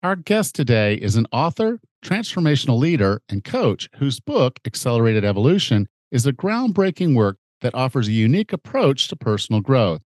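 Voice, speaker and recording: A man's voice: medium pitch, very animated, moderate pace, hardly any pauses, darkish tone, dry recording. A middle-aged man with a slightly nasal, stuffed-up voice hosting a conversational podcast. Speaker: podcast host and fitness coach.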